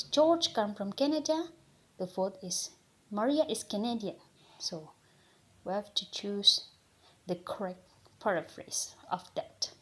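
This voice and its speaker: Young Indonesian-accented woman, student presenter, higher voice, reads carefully phrase by phrase. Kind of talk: reading a slide presentation.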